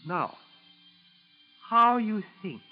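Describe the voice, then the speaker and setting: An actor playing a suspicious, falsely humble Japanese chauffeur with halting, broken English and a Japanese accent, in 1940s radio style. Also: male voice, high voice, very animated, soft background music, heavily acted. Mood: slyly probing. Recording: dull 1940s radio recording.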